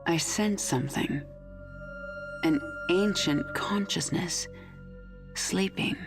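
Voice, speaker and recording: A woman's voice: fairly low pitch, takes it slowly. An actress playing a vengeful, defiant queen of an alien swarm in a video game, commanding, sardonic and resolute. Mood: watchful. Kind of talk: video game cinematic acting. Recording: music clearly behind the voice.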